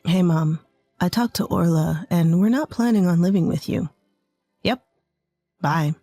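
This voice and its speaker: Woman doing a young husband, lowered pitch. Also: unhurried, dark tone, no room sound, faint music behind. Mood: firm, casual. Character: young husband, plain-spoken adult son.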